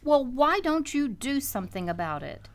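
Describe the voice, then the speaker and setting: A woman's voice: high voice, very animated, moderate pace. A woman playing an exasperated, nagging wife and mother in an old-time radio comedy, scolding as she goes.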